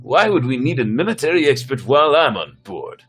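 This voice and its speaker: A man's voice: mid-range voice, big pitch swings, a little fast, husky. A man playing a cocky, bossy, sarcastic spaceship captain in a sci-fi story reading, brash and commanding. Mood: flippant. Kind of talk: dramatic reading of sci-fi script.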